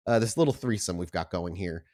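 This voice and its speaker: A man's voice: low-pitched, fairly quick, a little mellow. A man hosting a tabletop role-playing podcast as storyteller, speaking in an informal, chatty way. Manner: informal, chatty, swears casually. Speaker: podcast host and game storyteller. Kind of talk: casual podcast intro, then improvised tabletop game narration.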